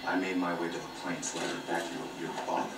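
An actor playing a stern, dutiful gang lieutenant in a video game, speaking in a measured, formal way. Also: male voice, low voice, little pitch movement, lightly acted. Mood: cold, firm. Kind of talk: video game cutscene acting. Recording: distant, noisy game audio with echo.

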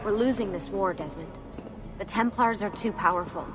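A woman's voice: mid-range voice, moderate pace, close to an everyday voice. Actress playing earnest young woman rebel, music behind. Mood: grave, persuasive. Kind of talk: video game cutscene acting.

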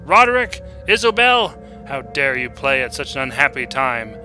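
A man's voice: mid-range voice, very animated, normal speed, bright, forceful tone, faint music behind. A man voicing an angry, mournful medieval wife and mother, scolding in archaic speech in a storybook reading.

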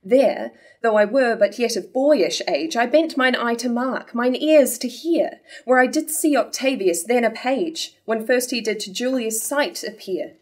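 A woman playing a measured, thoughtful young nobleman in a staged reading of verse drama. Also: on the high side, animated. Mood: measured, admiring.

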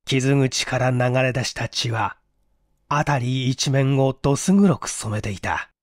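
A man's voice: mid-range voice, a little slow, no echo, studio quality. A man reading a mystery story as dramatic, suspenseful, theatrical audiobook narration. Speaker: audiobook reader.

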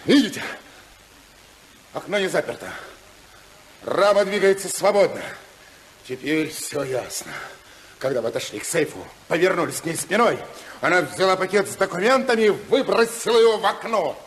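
A domineering, indignant husband in a 1950s radio play. His voice is very high for a man, and he declaims with sarcasm and accusation. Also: lively intonation, normal speed, pauses often, a little hiss or hum. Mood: insistent, accusing.

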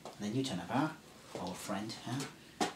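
A man playing a haunted, suspicious lone male survivor in an amateur film scene, speaking in hushed, intense tones that slip into whispers. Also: fairly low pitch, expressive, measured pace, echoey room, slight background noise, pitched below the performer's own voice. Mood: brooding.

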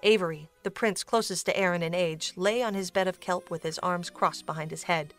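A woman's voice: on the low side, a little fast, fairly bright tone, faint music behind. A woman reading scripted storybook narration for an audio drama.

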